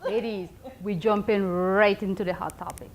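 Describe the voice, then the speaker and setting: A woman presenting the discussion topic on a talk panel, animated and quizzing the others. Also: medium pitch, moderate pace. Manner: animated, asks the panel questions. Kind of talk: casual panel chat.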